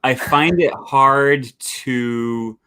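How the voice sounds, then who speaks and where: A man hosting a casual interview over a video call, relaxed and hesitant with frequent ums. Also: mid-range voice, drawn-out words, clean tone. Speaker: interviewer host.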